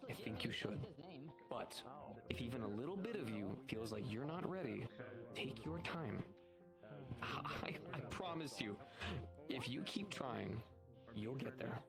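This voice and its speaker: A man plays a seasoned monster hunter mentoring a rookie in a fantasy roleplay game. He speaks at a middle pitch, explaining and reassuring, often repeating phrases to drive them home. Loud music runs behind the livestream voice chat. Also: lively intonation, measured pace, pauses often. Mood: encouraging, earnest. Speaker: roleplayer playing a guild instructor. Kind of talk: improvised in-character roleplay in virtual reality.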